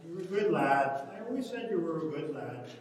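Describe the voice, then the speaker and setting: A man's voice: a little high, big pitch swings, normal speed. An actor playing a kindly, plaintive old countryman in a staged play reading. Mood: gentle, concerned. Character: gentle, fond old Irish countryman, a father. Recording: echoey hall, distant mic, faint noise.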